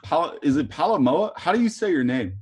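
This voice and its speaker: A man with a very deep voice chatting casually on a video call from home, friendly and jokey. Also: big pitch swings, fairly quick, darkish tone, breathy edge. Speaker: workshop participant. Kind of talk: casual chat. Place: room at home. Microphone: video call on a laptop.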